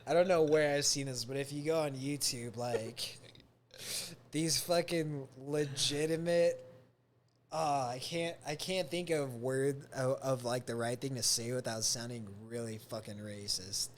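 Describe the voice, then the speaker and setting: A man hosting a podcast, rambling and halting, full of filler words and laughter. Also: mid-range voice, fairly even intonation, slight background noise. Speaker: podcast host. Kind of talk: casual rambling podcast talk.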